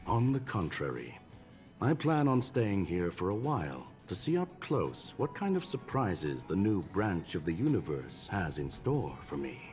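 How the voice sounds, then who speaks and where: An actor playing a courteous, calculating scholarly gentleman in a video game, speaking in a formal, measured, polite way. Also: sits low, very animated, faint music behind. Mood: courteous, calm.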